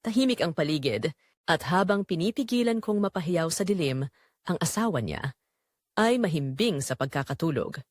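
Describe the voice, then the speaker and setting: A woman's voice: fairly low pitch, lively intonation, moderate pace, no room sound, studio quality. A woman with a Filipino accent reading a first-person romance drama as its narrator, in a scripted dramatic storytelling style.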